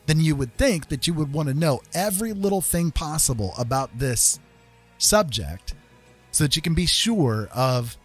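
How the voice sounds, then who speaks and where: A man's voice: on the high side, animated, moderate pace. Emphatic man livestreaming over music. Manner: emphatic, conversational, often checking with "right?" and "okay?". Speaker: livestream host. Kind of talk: casual opinionated livestream talk.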